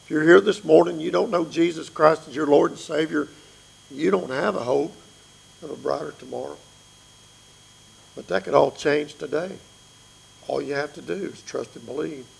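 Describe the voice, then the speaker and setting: Raspy, gravelly-voiced preacher man. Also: on the high side, normal speed, long pauses, rough recording. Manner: earnest, pleading with listeners. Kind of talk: sermon.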